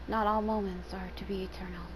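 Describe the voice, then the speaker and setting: A woman's voice: mid-range voice, flat delivery, a little fast. A woman doing a game playthrough, reading on-screen text aloud and commenting. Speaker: gaming video creator. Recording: clear background noise, game ambience.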